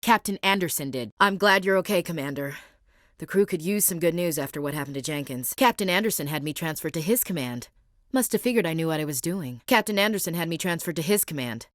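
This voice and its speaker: A young female Marine gunnery chief, blunt and wry, protective of her own. Her voice sits a little low in pitch, and it is recorded close and dry in a studio. Her talk is plain, clipped soldier speech. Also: expressive, quick pace, a bit bright, no echo. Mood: earnest. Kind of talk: video game voice acting. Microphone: close studio mic.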